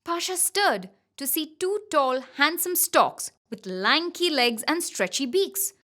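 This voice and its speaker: A woman narrating a children's bedtime story in an expressive, scripted style. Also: sits high, takes it slowly. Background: background music.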